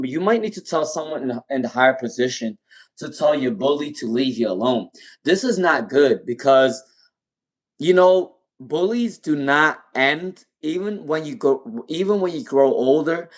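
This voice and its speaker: A man with a non-native accent and a speech impairment, giving live tarot readings. He repeats names and questions over and over as he pulls cards. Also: mid-range voice. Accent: non-native English. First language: not English. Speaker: tarot reader.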